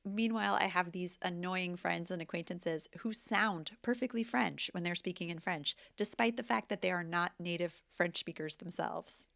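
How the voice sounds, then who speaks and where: A woman's voice: lowish voice, normal speed, vocal fry, few pauses, fairly bright tone. A woman in her forties with an American accent, a language teacher talking candidly and with self-deprecating humor in a casual vlog. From United States. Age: 40s. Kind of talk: casual reflective vlog talk.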